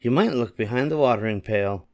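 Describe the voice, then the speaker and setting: A man voicing a plump, kindly little fairy godmother who gives gently bossy instructions in a storybook. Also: mid-range voice, a little fast, pitched above the performer's own voice, lightly acted. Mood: brisk, instructing. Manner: kind, instructing. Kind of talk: storybook character voice.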